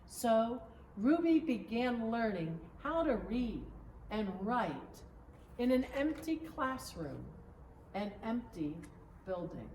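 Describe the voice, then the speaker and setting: A woman reading a children's story aloud in a measured, expressive storytelling manner, recorded at a distance in an echoing room. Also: a little high. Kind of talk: children's picture-book read-aloud. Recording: roomy echo, distant mic, background noise.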